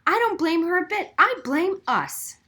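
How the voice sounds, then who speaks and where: Lady reading as upset brainy middle school girl, higher pitched, pushy and emphatic, bright audiobook voice. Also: big pitch swings, a little slow, full character voice. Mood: upset, insistent. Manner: insistent, emphatic. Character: upset, outspoken gifted middle-school girl, arguing passionately. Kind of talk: acted audiobook dialogue.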